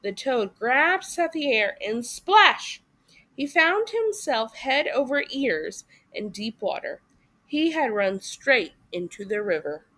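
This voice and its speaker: A woman reading a story aloud as expressive narration for children. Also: fairly high pitch, takes it slowly, poor audio. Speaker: story reader.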